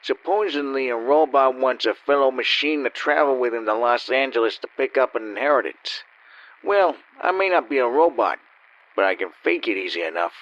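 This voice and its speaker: An actor playing a cynical, down-and-out gambler narrating a noir radio play in a wry, world-weary way. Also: male voice, medium pitch, expressive, normal speed, fairly bright tone, lightly acted. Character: down-and-out cynical male gambler and con man. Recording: dull, slightly lo-fi.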